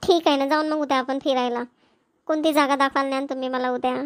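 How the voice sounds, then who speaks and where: Woman doing a homely village mom, high voice, chatty, rural maharashtrian accent, cartoon. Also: steady tone, fast talker, close to an everyday voice. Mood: teasing, agreeable. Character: homely village wife and mother, chatty, agreeable. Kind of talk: cartoon family dialogue.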